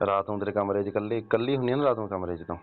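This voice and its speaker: A man's voice: on the low side, rapid-fire, a little hiss or hum, natural voice. A man playing a wheedling, insistent elderly father-in-law in a staged phone call, pressing and nagging with grunted question tags. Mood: sly, insinuating. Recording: tinny narrow-band phone call.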